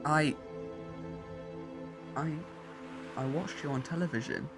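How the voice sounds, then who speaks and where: A man's voice: a little high, slow pace. A man playing a frightened boy who believes he is a cyborg, speaking stiffly and formally in a fan audio drama. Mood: confused, unsettled. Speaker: voice actor. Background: music.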